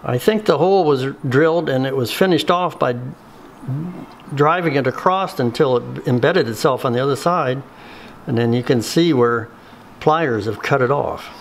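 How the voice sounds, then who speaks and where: A man's voice: mid-range voice, slight background noise. Plainspoken man teaching in a woodshop. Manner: unhurried, plainspoken, many fillers. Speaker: woodworking instructor. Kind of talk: hands-on workshop tutorial.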